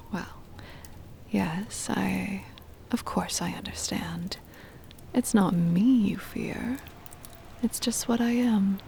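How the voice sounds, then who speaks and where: A woman playing a possessive, doting aristocratic vampire mistress in an ASMR roleplay, whispering breathily in a slow, formal, soothing manner close to the microphone. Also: mid-range voice, mellow, a little hiss or hum. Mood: soothing, devoted. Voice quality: breathy whisper with creaky fry.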